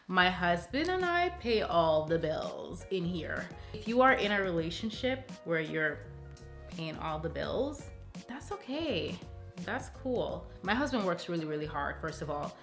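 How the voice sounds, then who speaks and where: A woman in her thirties with a Jamaican accent, talking casually to camera in a playful, chatty way and laughing often. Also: fairly low pitch. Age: 30s. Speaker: YouTuber. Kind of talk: casual Q&A vlog. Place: sitting alone in a room at home. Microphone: camera mic, talking straight to camera.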